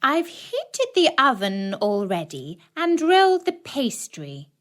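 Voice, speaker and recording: A woman voicing a wicked, greedy, bossy old witch in a children's fairy tale, jeering and commanding with drawn-out words. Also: high voice, huge pitch swings, fairly bright tone, pitched above the performer's own voice, heavily acted.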